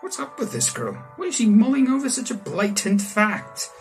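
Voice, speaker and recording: A man's voice: high voice, big pitch swings, normal speed, music in the background. A young man from South Africa reading a visual novel aloud with casual, rambling commentary and joking asides. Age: young adult. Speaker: let's player. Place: small room indoors.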